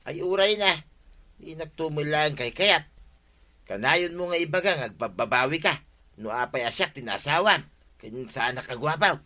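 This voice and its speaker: A man's voice: on the high side, lively intonation, moderate pace, sharp tone. A man with an Ilocano accent playing a boastful older husband eager to fight criminals, in broad, exclaiming radio comedy style. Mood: defensive.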